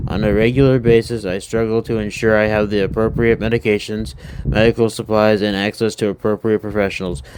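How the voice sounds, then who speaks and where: A man reading an introduction to his project, steady with small slips. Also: lowish voice. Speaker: student presenting his project. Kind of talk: read-out introduction. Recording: noisy recording with clicks.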